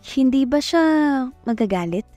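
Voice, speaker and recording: A woman's voice: on the high side, expressive, normal speed, warm tone, dry recording, lightly acted. An actress playing a timid, tender young Filipina telling her love story in a romantic audio drama, speaking with a Filipino accent. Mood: tender awe. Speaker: narrator and lead actress. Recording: music bed behind voice.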